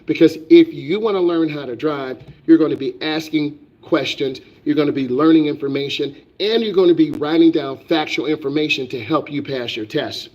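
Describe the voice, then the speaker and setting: A man with a slightly raised pitch gives a driving lesson on a live stream, emphatic and preachy, repeating his points again and again. His voice is dull and muffled, as if from a lo-fi stream. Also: normal speed, hardly any pauses, darkish tone. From United States. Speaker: driving instructor.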